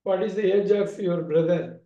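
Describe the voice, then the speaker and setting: High, mellow-voiced Indian man teaching English. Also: steady tone, normal speed. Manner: instructive, repeats questions and phrases. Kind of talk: online spoken English lesson, questioning and correcting. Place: online video call from a room. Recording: muffled, roomy, distant video call audio.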